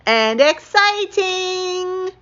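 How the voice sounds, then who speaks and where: A woman voicing an eager, excitable skunk assistant from a picture book, gushing with drawn-out exclamations. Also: extremely high pitch, lo-fi sound, faint music behind, pitched above the performer's own voice, exaggerated voice. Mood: excited.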